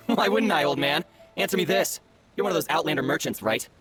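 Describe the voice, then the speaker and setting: A cocky, sneering bandit, swinging pitch. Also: male voice, expressive, a little fast. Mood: taunting. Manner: taunting, mocking. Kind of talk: video game voice acting. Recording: music behind the voice.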